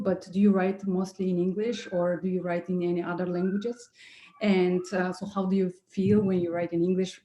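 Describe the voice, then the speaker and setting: A woman with a slightly low, mellow voice speaks on a video call. She is polite and hesitant as she puts questions as a workshop participant. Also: muffled recording.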